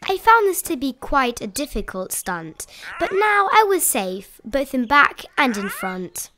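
A young woman reading rhyming children's picture books aloud in an expressive, playful storytelling style. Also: high voice, a little slow, noisy background. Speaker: children's picture book reader.

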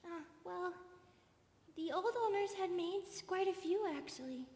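Squeaky, eager centipede maid. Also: female voice, unhurried. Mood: helpful. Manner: girlish, eager, soft. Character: eager, girlish, excitable young centipede maid, female. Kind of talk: ASMR roleplay acting.

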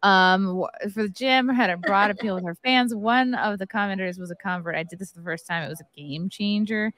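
A woman's voice: mid-range voice, fairly quick, a bit bright. Woman host reading aloud with asides. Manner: reads articles aloud with running asides. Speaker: podcast host. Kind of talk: casual panel chat reacting to articles.

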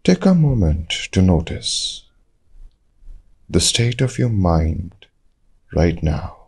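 A man leading a guided meditation, giving calm, measured instructions in short phrases with long pauses. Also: low voice, vocal fry, slightly rough.

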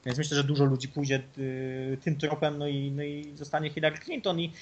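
A man's voice: a little high, level tone, fairly quick, muffled recording. A man chatting as a guest on a podcast, giving long, rambling, opinionated explanations. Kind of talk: casual chat.

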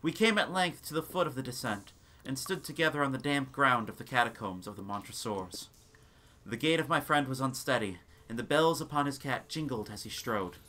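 A man giving a dramatic scripted narration of a gothic tale, with theatrical, deliberate phrasing. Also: mid-range voice, animated, pushed and bright.

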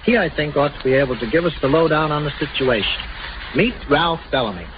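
A man's voice: on the high side, expressive, quick pace. Male interviewer on muffled old radio. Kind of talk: 1930s radio interview, scripted. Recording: muffled, noisy 1930s radio recording.

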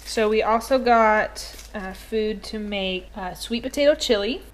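A woman's voice: mid-range voice, a little slow, noisy background. A young woman chatting casually into a handheld camera, explaining her plans in an upbeat, talkative way, first in a car and then in a kitchen. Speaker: family vlogger. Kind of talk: casual vlog narration. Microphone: handheld action camera at arm's length.

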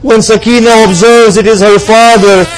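A man's voice: high voice, steady tone, normal speed, rough recording. A man preaching a mournful devotional narrative in a solemn, impassioned, dramatic way, with plaintive cries. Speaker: preacher reciting a religious lament. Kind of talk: mournful devotional sermon storytelling.

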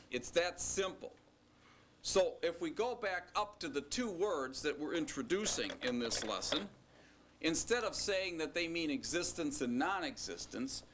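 A man teaching a recorded language lesson, explaining slowly and deliberately with joking asides. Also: on the high side, bright, forceful tone, a little hiss or hum. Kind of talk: recorded classroom lecture.

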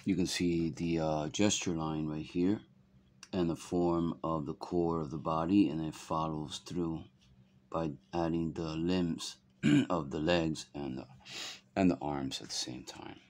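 Hoarse, very deep-voiced man teaching drawing. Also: fairly even intonation. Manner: soft-spoken, halting with fillers. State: hoarse from a cold. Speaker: art instructor. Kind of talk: drawing tutorial narration. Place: indoors at a drawing desk.

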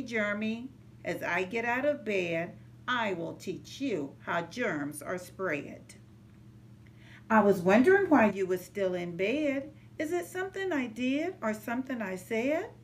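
A middle-aged woman reading a children's picture book aloud in a measured, sing-song rhyming way. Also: medium pitch. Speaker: early childhood center manager reading to children. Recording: noticeable background noise, home recording.

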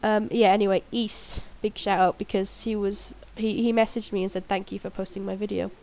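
A woman's voice: medium pitch, little pitch movement, brisk, vocal fry, darkish tone. A young woman with a cold and a blocked nose, rambling and mocking herself as she talks over her gameplay alone in her room at night. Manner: rambling, self-mocking, giggly. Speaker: gaming video creator. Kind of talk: casual gameplay commentary.

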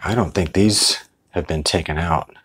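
Low, husky American man, casual. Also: normal speed, a bit bright. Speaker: video host. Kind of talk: casual show-and-tell vlog narration.

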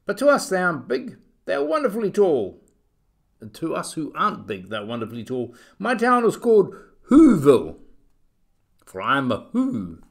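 A man's voice: on the high side, creaky, voice pushed up, exaggerated voice. Man doing a tiny piping storybook mayor. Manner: piping, polite, proud. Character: tiny, faint, grateful mayor of a speck-sized people.

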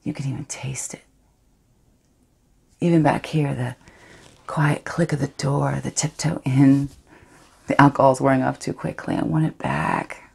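Casting note: a woman doing a defiant young woman, very low gravelly voice, intimate and half-whispered, a bit of a cold. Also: animated, moderate pace, exaggerated voice. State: has a cold. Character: defiant young woman, unrepentant after a secret night. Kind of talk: audition monologue.

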